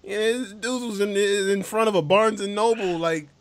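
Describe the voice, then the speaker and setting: A man hosting a comedy podcast, riffing in an animated, loud, slangy way. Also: sits high, moderate pace, no echo. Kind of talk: joking podcast banter.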